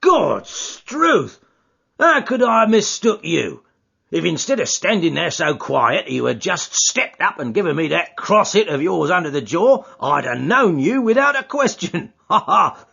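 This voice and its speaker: Man doing a gruff stubborn doorman, ex-boxer, blunt rough talk, husky. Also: high-pitched, big pitch swings, measured pace, bright, forceful tone, voice pushed up, full character voice. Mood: hearty. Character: gruff, stubborn burly male porter and ex-boxer. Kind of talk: audiobook character voice. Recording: dull lo-fi audiobook recording.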